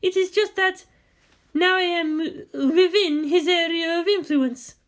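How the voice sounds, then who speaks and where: Performer playing a flustered, high-voiced mystic woman. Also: measured pace. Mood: apologetic. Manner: defensive, earnest, slightly formal. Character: earnest, flustered mystic woman from a hidden monastery.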